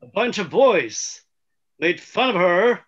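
A gruff, awkward middle-aged father, acted by a man in a script read. He is blunt and halting, with slow speech that swings widely in pitch, and the video-call sound is husky and dull. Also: big pitch swings, exaggerated voice. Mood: animated. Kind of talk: table read of a film script. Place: indoor room at home, video call.

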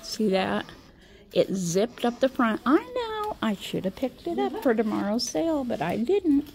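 Slow gravelly woman whispering in a thrift store. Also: fairly high pitch, big pitch swings, a little mellow. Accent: Midwestern American. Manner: chatty, often drops to a whisper. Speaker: vlogger. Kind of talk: casual shop-with-me chat. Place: large indoor thrift store. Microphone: handheld phone camera.

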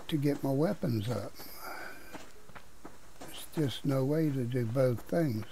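A man's voice: medium pitch, slow pace, gravelly, a little hiss or hum, low-quality recording. A man with a Southern US accent giving casual, folksy commentary over a survival game he is playing. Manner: relaxed, folksy drawl with regional words. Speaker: gaming YouTuber.

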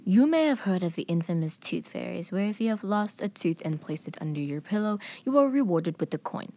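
Woman acting pedantic male nerd, comic dub, formal, precise, animated pitch, slightly low. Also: a little fast. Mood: grave, theatrical. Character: serious, pedantic, nerdy bespectacled man. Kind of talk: fan comic dub reading.

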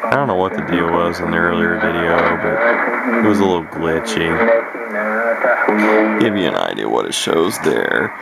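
A man's voice: low-pitched, animated, normal speed, gravelly, pauses often, loud music behind the voice. A man chatting casually and unscripted as a radio hobbyist, rambling hesitantly and thinking aloud as he demonstrates. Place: indoor room at home beside radios. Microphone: handheld camera mic, speaker behind camera. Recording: noisy handheld camera, loud radio static. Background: shortwave radio hiss and broadcast.

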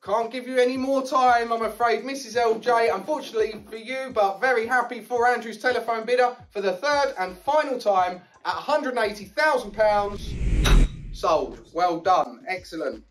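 A man in his thirties with an English accent, working as a property auctioneer and calling bids at a live auction in a brisk, encouraging way. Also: very high-pitched, a bit bright, far from the mic, faint music behind. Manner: brisk, upbeat, encouraging bidders. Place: small auction room with banner backdrop. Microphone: podium mic, filmed from a distance.

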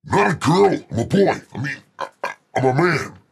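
Man acting gravelly robotic disguised superhero voice. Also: sing-song, normal speed, full character voice. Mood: flustered, demanding. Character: flustered young male superhero, voice disguised to sound menacing. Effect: pitch shifted down, subtle robotic flanger. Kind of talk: acted film scene imitation.

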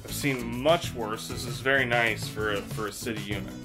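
An American man in his thirties giving an unscripted property walkthrough to camera as a real estate agent, halting and repeating phrases as he explains. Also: medium pitch, fairly even intonation. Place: empty rooms of a large house, indoors. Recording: echoey bare rooms, music behind.

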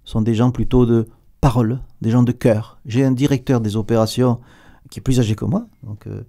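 A middle-aged man with a southern French accent, a company director giving an animated, hesitant radio studio interview with repeated words. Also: mid-range voice, a little fast. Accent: southern French, Provençal. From southern France. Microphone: table mic with headphones.

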